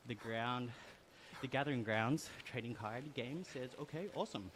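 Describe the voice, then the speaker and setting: An Australian man on a casual live stream, reading viewer comments aloud while riding an indoor bike, upbeat and quick to laugh. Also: medium pitch, slight background noise, rough recording. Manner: upbeat, laughs often, friendly and chatty.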